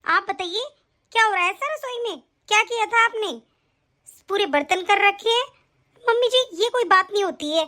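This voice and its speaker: A woman's voice: extremely high pitch, moderate pace, pushed and bright, pitched above the performer's own voice. A woman voicing a bossy, nagging aunt and daughter-in-law who scolds and complains in a family skit. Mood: complaining. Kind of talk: comic family skit acting.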